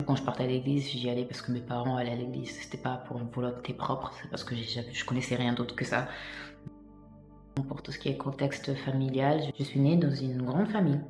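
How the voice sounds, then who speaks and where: A woman's voice: a bass voice, echoey room. A woman from Italy sharing her personal faith testimony in a vlog, earnest and hesitant, with many fillers and restarts.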